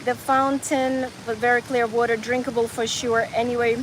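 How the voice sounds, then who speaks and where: A high-pitched woman in her forties talks eagerly while strolling outdoors, her phone picking up a faint hum of traffic behind her. She chats to a live audience and often doubles back on her words. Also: little pitch movement, moderate pace, poor audio. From Ukraine, raised in North Carolina. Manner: enthusiastic, chatty, repeats words as she talks. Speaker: travel vlogger. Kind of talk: live-streamed walking travel chat. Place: outdoors in a city park and square.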